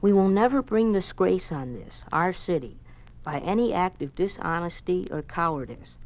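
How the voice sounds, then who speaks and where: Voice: mid-range voice, lively intonation, normal speed, natural voice, poor audio. Someone acting an eager american schoolboy in a 50s radio show, polite and kinda naive. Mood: solemn reciting. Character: earnest, eager young schoolboy, a Boy Scout and obedient son. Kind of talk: 1950s radio drama acting.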